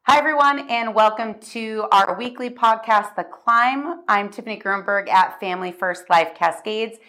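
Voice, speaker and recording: A woman's voice: on the high side, moderate pace, pushed and bright, a little hiss or hum. A woman hosting a podcast, chatting in a bubbly way with plenty of fillers as she interviews a guest. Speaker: podcast host. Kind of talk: casual interview.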